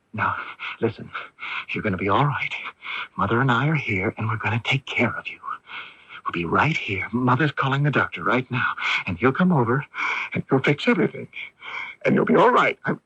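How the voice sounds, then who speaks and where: An actor playing a troubled, anxious young son in a radio drama, brooding and questioning, stammering under stress. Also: male voice, mid-range voice, pushed and bright, slightly rough, no room sound, heavily acted. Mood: anxious, pleading. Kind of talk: radio drama acting with first-person narration. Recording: muffled early-1980s AM radio broadcast, music behind.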